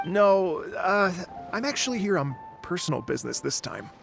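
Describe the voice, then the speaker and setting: An actor playing a polite, earnest and cautious musician in a video game, speaking in a formal, hesitant way. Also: male voice, high-pitched, big pitch swings, normal speed, creaky, over loud music, exaggerated voice. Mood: apologetic, hesitant.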